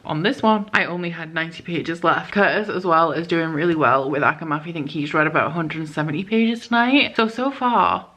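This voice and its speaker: A woman's voice: low-pitched, fairly quick, hardly any pauses, fairly bright tone. A young woman chatting casually at home about the book she is reading, filling her talk with "like" and speaking candidly. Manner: chatty, lots of "like" fillers, candid and blunt. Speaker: book vlogger. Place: at home, small room.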